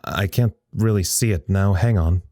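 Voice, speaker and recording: An actor playing a bored, sardonic communications officer at a secret lab, wry and rambling in naturalistic audio drama style. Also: male voice, deep voice, normal speed, mellow, dry recording, studio quality. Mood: focused reporting.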